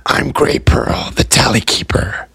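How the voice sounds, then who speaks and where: A man's voice: medium pitch, measured pace, creaky, sharp tone, slightly rough, no echo, voice pushed up, exaggerated voice. A man voicing a sly, sarcastic male spirit trader who talks in a gleeful, mock-friendly way and sometimes drops to a whisper. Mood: welcoming.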